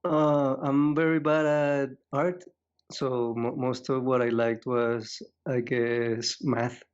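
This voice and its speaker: Man from Colombia, interview guest, modest, repeats words, mid pitch, dull lo-fi audio. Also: level tone, takes it slowly, clear, resonant tone. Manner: soft-spoken, modest, repeats words as he goes. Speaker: guest, tea adviser. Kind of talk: casual interview.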